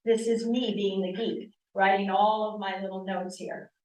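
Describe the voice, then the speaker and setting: A woman in her sixties from the American East Coast gives an animated talk on yoga. She leans on rhetorical questions and speaks at a middling pitch. Her voice is caught from a distance in an echoey church hall. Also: moderate pace. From Connecticut, US East Coast. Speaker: yoga teacher.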